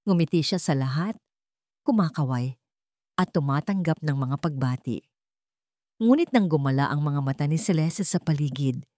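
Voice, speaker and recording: A woman with a Filipino accent narrating a scripted dramatic story in measured, suspenseful short phrases. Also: deep voice, dark tone, no echo, studio quality.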